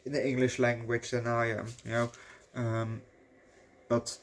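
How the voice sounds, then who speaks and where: A man with a Dutch accent, a native Dutch speaker, casually rambling through a movie review, swearing often and filling pauses with "you know". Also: mid-range voice, monotone, slight background noise. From Netherlands.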